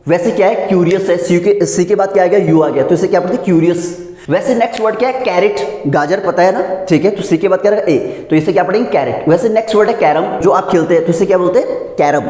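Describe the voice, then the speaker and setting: A man's voice: high voice, fast talker, dark tone. Male Indian-accented teacher, question-and-answer drilling style, recorded in a very reverberant room. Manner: didactic, repeatedly asks questions and answers them. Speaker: English pronunciation teacher. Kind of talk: classroom lesson in spoken English. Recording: echoey room with long reverb.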